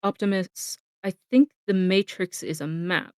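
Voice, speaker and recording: A woman's voice: mid-range voice, steady tone, unhurried, warm tone, clear, resonant tone, dry recording, clean studio sound, natural voice. A woman voicing a brainy, matter-of-fact robot scientist in an amateur comic dub, explaining precisely. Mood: intent explaining.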